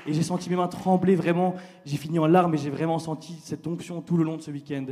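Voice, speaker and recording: A man's voice: a little high, flat delivery, fast talker, mellow. A man giving an earnest, grateful church testimony. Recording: crowd murmur and light noise.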